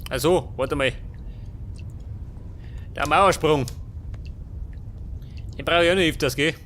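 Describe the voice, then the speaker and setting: A man's voice: a little high, takes it slowly, a bit bright, a little hiss or hum. A man with a Bavarian accent doing casual let's play commentary, muttering to himself in colloquial, sweary bursts. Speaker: let's player.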